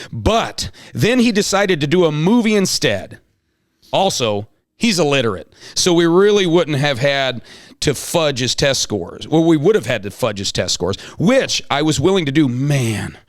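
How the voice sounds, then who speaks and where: Man playing a flustered, greedy principal, lively delivery. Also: medium pitch, no echo, studio quality. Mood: eager, scheming. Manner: halting, exclamatory. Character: flustered, greedy, long-winded male school principal. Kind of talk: amateur table read of a comic play.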